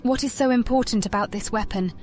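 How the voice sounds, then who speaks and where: A woman's voice: on the high side, fairly quick, a little mellow, soft background music, lightly acted. An actress playing a young female elf adventurer who asks direct, pointed questions. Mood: questioning.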